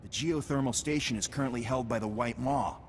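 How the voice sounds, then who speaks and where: A man's voice: fairly quick, lightly acted. A man playing an earnest, grave military officer in a science fiction video game. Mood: grave, decisive. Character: earnest, grave Republic military commander at an ice outpost. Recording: boomy hall reverb, noisy game ambience.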